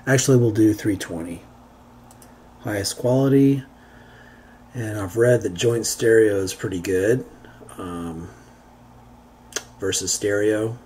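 Low-voiced man giving a halting tutorial. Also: a little mellow. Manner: halting, thinking aloud while working. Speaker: tutorial presenter. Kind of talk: unscripted how-to software demonstration. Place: indoors, beside a computer. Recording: homemade recording with background noise.

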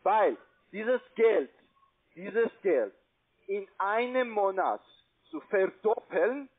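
A man with a foreign accent giving a pushy, repetitive phone sales pitch in halting non-native German. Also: lively intonation. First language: not German. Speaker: call centre investment salesman. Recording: tinny band-limited phone line.

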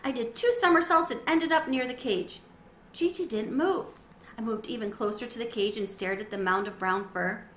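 A middle-aged woman giving an expressive, dramatized read-aloud of a children's story. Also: on the high side, normal speed. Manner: animated, dramatizing. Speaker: storybook reader. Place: small sunroom with windows, indoors. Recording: roomy echo, faint background noise.

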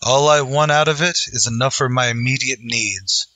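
A man plays a resolute lawman hero in an old-time western radio show. His voice is bright and forceful at a middling pitch, and he speaks with solemn earnestness. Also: moderate pace, no room sound, close to an everyday voice, low-quality recording.

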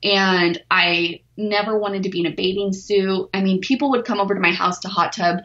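A woman's voice: medium pitch, steady tone, moderate pace, a bit bright, echoey room, muffled recording. A forty-year-old woman, a weightlifter, talking openly and self-deprecatingly as a podcast guest, laughing easily. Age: 40s. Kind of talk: candid podcast interview.

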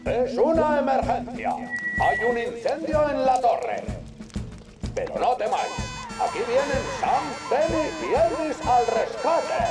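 An actor playing an urgent, heroic announcer of rescues in a children's cartoon, dramatic and exclamatory, in echoey sound over music. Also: male voice, very high-pitched, takes it slowly, creaky, heavily acted. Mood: urgent, rousing.

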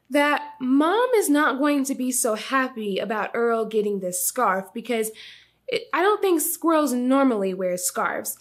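A young adult woman hosting an expressive, teacherly children's read-aloud, with asides that prompt listeners. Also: high-pitched. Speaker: storytime host and teacher.

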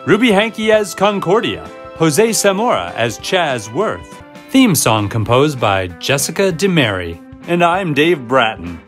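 A man's voice: on the high side, a bit bright. A man reading the end credits of a podcast drama in a playful sign-off. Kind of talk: scripted credits read-out. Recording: faint guitar music behind the voice. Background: faint guitar music.

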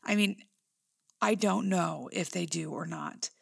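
A woman's voice: on the low side, a little slow, gravelly, breathy edge, full character voice. A woman playing a pushy, superstitious, teasing cousin in a horror audio drama. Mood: ominous, gossipy.

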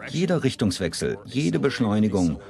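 A man's voice: on the low side, sing-song, moderate pace, creaky, a crowd in the background. A man playing a matter-of-fact scientist, explaining things in a documentary voice-over. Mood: matter-of-fact, explanatory.

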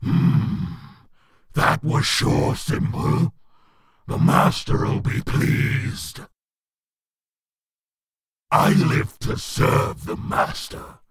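A man voicing a gloating, bloodthirsty demon in a comic dub, with a rasping, breathy growl and a sneering, boastful manner. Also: very slow, pauses often. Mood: smug. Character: gloating, bloodthirsty demon monster serving his master.

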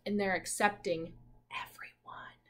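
A woman in her twenties, a teacher reading a picture book aloud and asking children simple questions with pauses for answers. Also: medium pitch, steady tone, whispered. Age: 20s. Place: small room at home, indoors.

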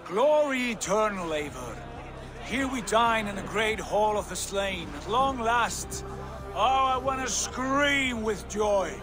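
Boastful Viking leader, lifted pitch, declamatory delivery. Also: male voice, animated, takes it slowly. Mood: exultant. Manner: proud, rousing, declamatory. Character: Sigurd, proud male Viking chief, boastful. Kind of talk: video game voice acting. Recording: game audio with music behind.

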